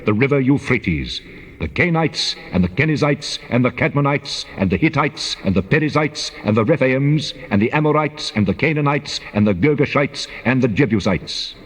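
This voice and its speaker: A man voicing God as a solemn, commanding divine figure, declaiming deliberately in a dramatized scripture reading. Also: medium pitch, expressive, husky. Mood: solemn pronouncement. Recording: long cavernous reverb.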